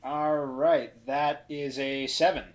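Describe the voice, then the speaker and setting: A man playing a tabletop game casually, improvising in a halting, self-correcting way. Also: on the high side, level tone.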